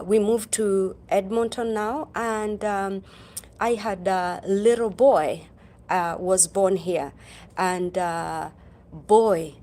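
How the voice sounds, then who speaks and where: Slow, halting woman from Kenya. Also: medium pitch. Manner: slow, halting, many ums and uhs, greets viewers by name. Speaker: livestream host. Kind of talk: casual livestream storytelling.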